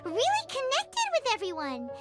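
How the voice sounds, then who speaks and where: A woman voicing a tiny, eager, childlike fairy companion in a video game, with a squeaky, bubbly delivery. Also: huge pitch swings, normal speed, heavily acted. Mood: admiring, excited. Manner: bubbly, exclamatory. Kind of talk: scripted video game dialogue. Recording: loud tinkling music under the voice. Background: playful game music.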